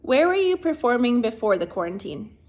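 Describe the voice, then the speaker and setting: A woman's voice: fairly high pitch, very animated, brisk, a little mellow. A woman hosting an informal show, introducing performers and asking them questions in a casual way. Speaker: show host. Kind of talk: casual spoken introduction and interview.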